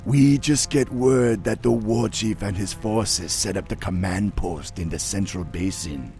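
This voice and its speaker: A loyal troll warrior for a game cutscene. The voice is low and takes its time, with loose dialect grammar, over light music. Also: male voice, mellow, heavily acted. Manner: dialect grammar with dropped verbs. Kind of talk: video game cutscene acting.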